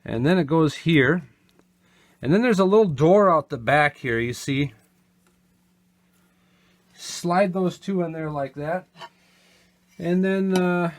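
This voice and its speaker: A man giving a casual hands-on product demo, explaining step by step in a plainspoken, unhurried way. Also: on the high side, a little hiss or hum. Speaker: vintage gear seller and demonstrator.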